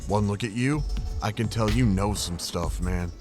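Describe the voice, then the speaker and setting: A man's voice: lowish voice, moderate pace, over music, lightly acted. An actor playing a mysterious school janitor who talks in a drawling, conspiratorial way and calls everyone man. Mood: cryptic. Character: mysterious male school janitor, cryptic, overly familiar.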